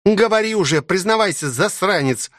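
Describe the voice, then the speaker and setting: Man voicing a fierce, high-pitched young princess. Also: expressive, normal speed, fairly bright tone, dry recording, studio quality. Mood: demanding. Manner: growling, demanding, teasing. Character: fierce, growling young princess, possessive and lovestruck. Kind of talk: audiobook character voice.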